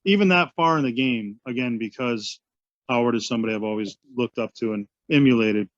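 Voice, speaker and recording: A man's voice: medium pitch, brisk, no echo. Man telling an amused story, muffled. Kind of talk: storytelling anecdote. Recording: dull muffled sound.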